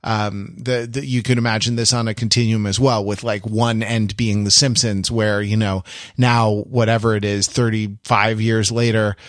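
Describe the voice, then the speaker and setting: A man co-hosting a podcast in casual discussion, halting and restarting his thoughts often. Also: fairly low pitch, little pitch movement, no echo, clean studio sound. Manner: halting, many fillers and restarts. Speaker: podcast co-host.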